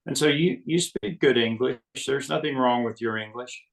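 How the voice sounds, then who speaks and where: A man with a medium-pitched voice leads a casual conversation as the interviewer. He sounds set back from the microphone, so his voice comes across as distant. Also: normal speed, gravelly.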